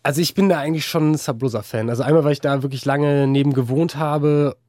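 A young man in a casual interview, talking hesitantly with many fillers and restarts. His voice is dark and mellow, recorded close and dry. He is a musician speaking as a guest. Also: a little high, steady tone, quick pace, dry recording. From Germany.

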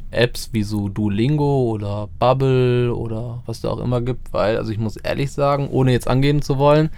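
Relaxed, chatty male interviewer. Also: medium pitch, normal speed, no echo. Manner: relaxed, chatty, laughs easily. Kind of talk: casual interview.

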